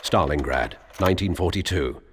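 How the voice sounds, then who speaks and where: Male actor as grave war history narrator, very deep, deliberate, reverberant game cutscene. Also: close to an everyday voice. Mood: solemn. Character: solemn wartime history narrator. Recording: long reverb, faint noise.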